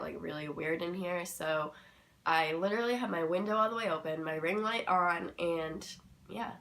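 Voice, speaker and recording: Young woman, slightly raspy, just woke up, low voice, rambling casual chat, bedroom vlog. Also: fairly bright tone. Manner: chatty, rambling, full of "like" and "um". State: tired, just woken up. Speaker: beauty vlogger. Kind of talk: casual makeup tutorial chat. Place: her bedroom.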